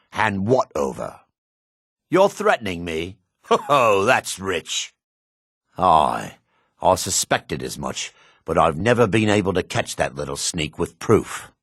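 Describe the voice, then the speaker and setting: A man voicing a gruff, wary male dark elf townsman in a fantasy video game, with a low, husky voice. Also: no echo, studio quality, full character voice. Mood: indignant, then angry.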